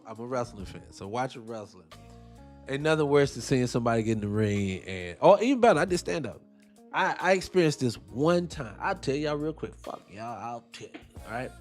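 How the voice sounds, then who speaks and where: A young adult man hosting a talk show, delivering heated, profane commentary with rambling tangents. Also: mid-range voice. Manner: profane, animated, ranting with tangents. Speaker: host.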